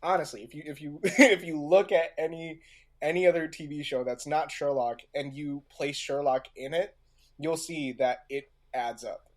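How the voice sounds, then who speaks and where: A man talking casually as a podcast co-host, halting and repeating himself as he searches for words. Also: on the high side, fairly even intonation. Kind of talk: casual discussion of audio drama craft.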